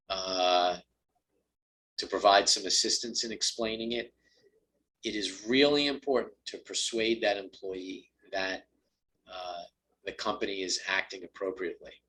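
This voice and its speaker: A man presenting on employment law in a conversational way, with many fillers and restarts, his voice a little raspy from a long day of talking. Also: fairly low pitch, sharp tone, rough recording. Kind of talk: webinar talk on employment law.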